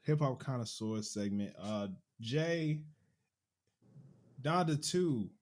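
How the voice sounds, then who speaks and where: A man's voice: medium pitch, expressive, slow pace, darkish tone. Laid-back male podcast host. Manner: laid-back, laughs often. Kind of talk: casual chat.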